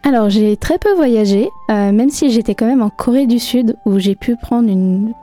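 A young woman with a fairly high, mellow voice answers questions on a radio show. She talks hesitantly, stopping often with fillers, and soft music plays faintly behind her. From France. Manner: hesitant, many pauses and fillers. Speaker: guest artist.

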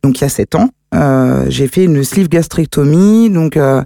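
A woman's voice: low-pitched, animated, measured pace, gravelly, dark tone, no echo. A woman in her thirties, a guest on a local radio show, talking frankly and chattily about her own experience in a studio. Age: 30s. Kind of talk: casual radio chat, personal testimony. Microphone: studio microphone.

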